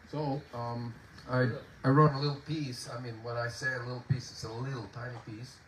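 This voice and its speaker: A man's voice: medium pitch, level tone. A man from Puerto Rico with a Puerto Rican Spanish accent, speaking hesitantly to a concert audience. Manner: hesitant, halting, with many fillers. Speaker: composer and pianist. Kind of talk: informal spoken talk to an audience. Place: outdoor garden concert. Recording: distant echoey outdoor recording with noise.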